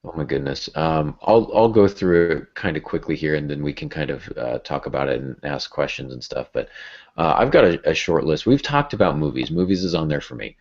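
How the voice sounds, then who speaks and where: A man chatting informally as a podcast host, getting animated when he illustrates a point. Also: a bass voice, fairly quick. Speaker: podcast host and writing teacher. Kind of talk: casual podcast discussion. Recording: dull lo-fi podcast audio.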